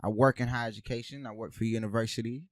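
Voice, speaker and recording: Animated young man from Haiti. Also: mid-range voice, rapid-fire, dry recording, studio quality. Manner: animated storyteller, says "bruh" and "man" a lot. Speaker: podcast co-host. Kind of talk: casual chat.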